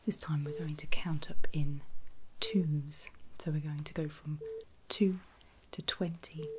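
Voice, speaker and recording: A woman whispering in a breathy, soothing and reassuring way, as a caregiver in an ASMR medical roleplay. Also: low-pitched, steady tone, background noise. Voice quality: breathy, mostly unvoiced whisper. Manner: soothing, reassuring, slow and deliberate. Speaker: ASMR performer playing a surgical nurse.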